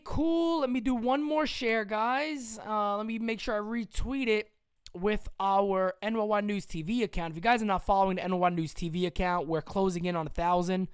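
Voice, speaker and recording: An excitable man hosts a live stream. He drops to a mutter and then bursts into yelling. His voice sounds strained from the shouting. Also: sits high, clean tone. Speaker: live-stream sports show host. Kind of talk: casual live-stream commentary.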